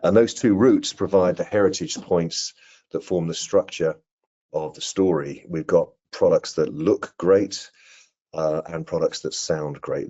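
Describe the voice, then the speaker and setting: A middle-aged British man with a husky voice, giving a prepared product presentation over a video call. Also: a bass voice, darkish tone. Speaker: product marketing director presenting. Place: indoor room on a video call. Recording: dull, lo-fi video call audio.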